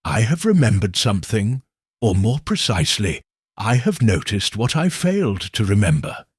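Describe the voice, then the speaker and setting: A man voicing a shrewd, calm Victorian detective who reasons in a measured, precise way, in a husky voice. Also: lowish voice, no room sound, clean studio sound.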